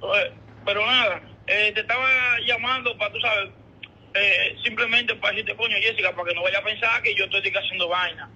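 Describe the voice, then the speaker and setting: A man's voice: sits high, fairly even intonation, a little fast, sharp tone, husky. A Dominican man in a casual phone conversation, explaining himself hesitantly and repeating words, heard tinnily through a phone speaker. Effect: heard over a phone speaker. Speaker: caller. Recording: tinny, muffled phone call on speakerphone.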